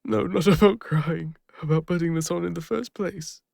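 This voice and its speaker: A man with an English accent playing a gentle, bashful lone ranger and former healer in an intimate audio roleplay, speaking hesitantly. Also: a little high, vocal fry, dry recording, studio quality. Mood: vulnerable, regretful. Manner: hesitant, soft-hearted, halting. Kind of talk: intimate scripted audio roleplay addressed to the listener.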